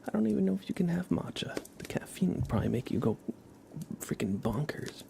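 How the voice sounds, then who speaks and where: Male ASMR streamer, soft and breathy with heavy fry, dark relaxed tone, often whispering, informal and rambling, close-miked. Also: fairly high pitch, fairly quick, slight background noise. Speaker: ASMR livestream host. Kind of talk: soft-spoken ASMR, casual live chat.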